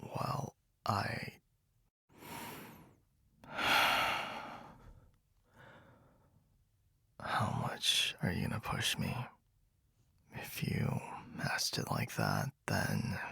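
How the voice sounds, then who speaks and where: A man's voice: big pitch swings, very slow, long pauses, bright, forceful tone, no room sound, heavily acted. A man playing a whispering, jealous best friend. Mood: irritated, defensive. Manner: low, breathy whispering with frequent sighs. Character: jealous, possessive young male best friend. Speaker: ASMR roleplay voice actor. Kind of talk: whispered ASMR boyfriend roleplay.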